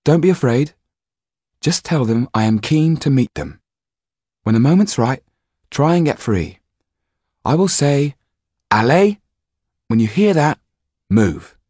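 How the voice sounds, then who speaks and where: A man voicing a brave, quick-witted young girl giving firm, urgent instructions. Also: medium pitch, lively intonation, darkish tone, no echo, studio quality, pitched above the performer's own voice.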